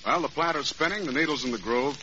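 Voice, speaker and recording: A man's voice: fairly high pitch, fast talker, bright, forceful tone. A man announcing on a 1940s radio show with rhyming jive patter. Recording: noisy, muffled old radio recording.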